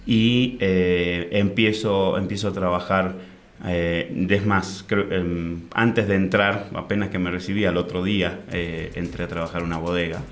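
Deep-voiced man, halting speech. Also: steady tone. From Chile, raised in Argentina. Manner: hesitant, many fillers and restarts. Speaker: guest winemaker. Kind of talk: interview.

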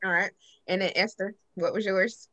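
A woman hosting a casual panel discussion, telling stories in a blunt, slangy, animated way. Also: medium pitch, moderate pace. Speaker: host moderating a panel discussion. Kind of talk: lively casual group chat.

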